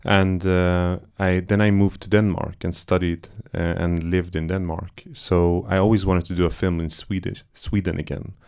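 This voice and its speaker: A man's voice: low-pitched, flat delivery, normal speed, creaky, few pauses, a little mellow, smooth. A man from Iran, a film director, talking loosely in a post-screening Q&A, searching for words with many fillers and restarts. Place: cinema auditorium.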